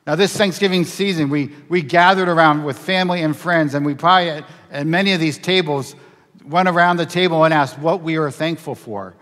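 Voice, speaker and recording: A middle-aged male pastor preaches from the pulpit in a fairly high voice. He asks earnest questions and presses his points with emphasis. The church livestream recording carries a long reverb from the sanctuary. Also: a little fast, a bit bright. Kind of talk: sermon with scripture reading and prayer.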